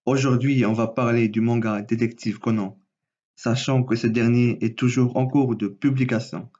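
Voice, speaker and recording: A man's voice: mid-range voice, steady tone, normal speed, dark tone, lo-fi sound. A man with a cold, reading his own theory video as a channel host, with small restarts. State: has a cold. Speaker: anime and manga YouTuber.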